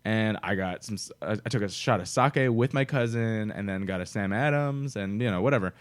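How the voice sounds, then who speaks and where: Chatty young man with low voice. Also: fairly quick. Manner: chatty storyteller, laughs often. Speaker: podcast co-host. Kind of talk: casual chat.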